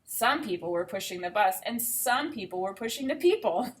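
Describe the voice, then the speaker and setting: A woman teacher giving an expressive read-aloud for children, with enthusiastic explanatory asides. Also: medium pitch, normal speed. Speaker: teacher reading a picture book aloud.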